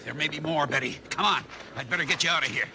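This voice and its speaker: An actor playing a brisk, dismissive mine owner with a shady streak in a 1950s radio drama, in a gravelly voice. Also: male voice, on the high side, natural voice. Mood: urgent. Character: curt, evasive male mine owner, businesslike villain. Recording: noisy vintage radio drama recording.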